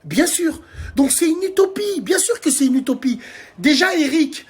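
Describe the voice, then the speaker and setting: A man in his forties from France, ranting to camera from a dark bedroom at night on his phone. He is heated and crude, hurls insults and speaks straight to his viewers. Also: extremely high pitch, sing-song, moderate pace. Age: 40s. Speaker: social media commentator. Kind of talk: angry political rant to camera. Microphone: phone held at arm's length.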